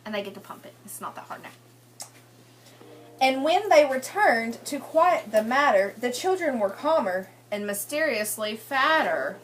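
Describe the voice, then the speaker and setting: A woman's voice: a little high, lively intonation, a little slow, a little hiss or hum, rough recording. A woman reading a picture book aloud as a teacher, prompting children with questions and checking they follow.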